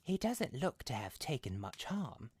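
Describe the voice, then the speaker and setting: A polite elderly mother from a storybook, played by a male reader. The pitch is raised and the manner is curious and proper. It sounds dry and close. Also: very animated, a little slow, slightly rough, no room sound. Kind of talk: storybook character voice.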